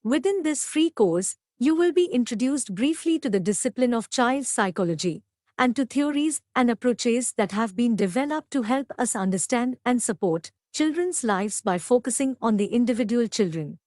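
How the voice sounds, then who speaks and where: A woman reading scripted academic passages aloud in a measured, careful way, as a model for exam practice. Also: on the high side, expressive, a little mellow, no echo, studio quality.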